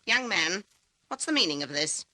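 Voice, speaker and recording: Low, brusque old farm woman. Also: big pitch swings, moderate pace, bright, forceful tone, dry recording, heavily acted, low-quality recording. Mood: indignant. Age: older. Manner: brusque, blustering, scornful. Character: gruff, indignant, suspicious older farm woman. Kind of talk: old-time radio drama acting.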